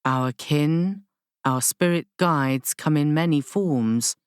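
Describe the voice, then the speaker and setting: A woman reading an audiobook, voicing a gentle but unwavering woman spiritual guide who explains patiently and rather formally. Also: low voice, slow, clean tone, no echo, studio quality. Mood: serene. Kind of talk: audiobook character dialogue.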